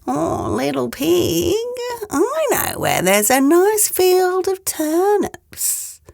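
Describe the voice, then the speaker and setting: A woman voicing a sly, menacing male wolf in a children's storybook, with slow, drawn-out, coaxing delivery. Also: very high-pitched, sing-song, gravelly, a little mellow, no room sound, clean studio sound, voice pushed up, full character voice. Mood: wheedling. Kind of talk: children's storybook read-aloud.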